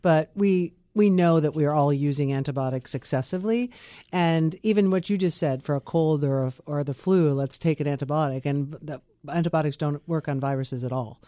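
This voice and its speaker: A woman, a guest doctor on a radio show, explaining clinical topics in a measured way. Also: low-pitched, dark tone, no echo. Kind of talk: radio interview.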